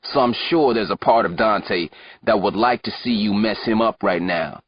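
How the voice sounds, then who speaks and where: A man voicing a calm young basketball player in an audiobook, measured and persuasive. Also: on the low side, lo-fi sound, natural voice.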